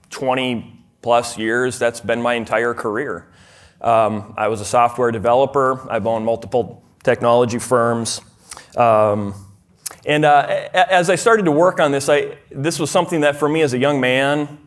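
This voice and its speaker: A man in his forties with a Minnesotan accent, speaking at a church conference in a mid-pitched voice. He talks in a loose, conversational way, with fillers and restarts. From Minnesota, USA. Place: church hall.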